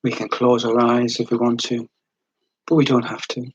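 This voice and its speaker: Husky, gentle male meditation guide. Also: medium pitch, flat delivery, dry recording, highs cut off. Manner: unhurried, soothing, with long pauses between short phrases.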